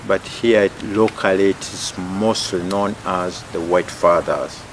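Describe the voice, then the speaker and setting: A man in his sixties from Uganda, a missionary priest answering interview questions at an easy pace, with frequent fillers and quiet chuckles. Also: sits low, steady tone, measured pace, slight background noise, low-quality recording. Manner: hesitant, many fillers, chuckles often.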